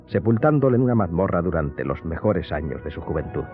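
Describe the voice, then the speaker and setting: A man narrating a radio novel in a measured, literary, dramatic storytelling style. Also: deep voice, big pitch swings. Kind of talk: dramatic scripted audiobook narration. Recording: muffled audio under prominent music.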